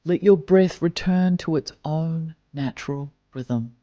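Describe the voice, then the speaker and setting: A man guides a meditation at an unhurried, very slow pace, his mellow voice soft and soothing as he counts breaths. The sound is close and dry, slightly muffled and dull. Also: a little high, dry recording.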